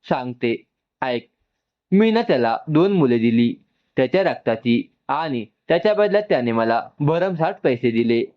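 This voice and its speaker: A man's voice: medium pitch, expressive, normal speed, lo-fi sound, natural voice. A man voicing a defiant, exasperated young woman with firm, curt retorts, in a narrated family story. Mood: furious.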